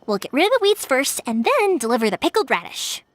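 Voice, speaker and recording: Yoimiya, a bright young fireworks maker. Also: female voice, high voice, very animated, fairly quick, slight background noise. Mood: upbeat. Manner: upbeat, eager, reassuring. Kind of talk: game voice acting.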